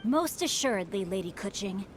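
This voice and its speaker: A woman's voice: medium pitch, animated, moderate pace, a little hiss or hum, natural voice. A woman voicing a polite, chivalrous teenage boy of a wealthy house in a video game, with flowery, courtly speech. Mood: earnest, chivalrous. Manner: polite, flowery, smooth-tongued. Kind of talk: English video game voice acting.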